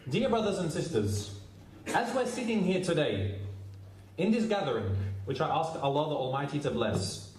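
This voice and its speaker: A man's voice: fairly high pitch, big pitch swings, moderate pace, a little mellow, echoey room, rough recording. A man preaching a sermon in a formal, solemn manner.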